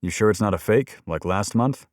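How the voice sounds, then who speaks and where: A man's voice: fairly low pitch, moderate pace, darkish tone, no room sound, studio quality, voice pushed up, lightly acted. A man voicing a burned-out, snarky but businesslike detective in an audiobook, with casual, clipped lines.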